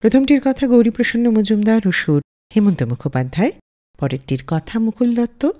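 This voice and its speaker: A woman radio host narrating from a script in an expressive storyteller's manner, close on a studio microphone. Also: on the high side, a little fast. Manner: expressive storyteller, lively rise and fall. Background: faint background music.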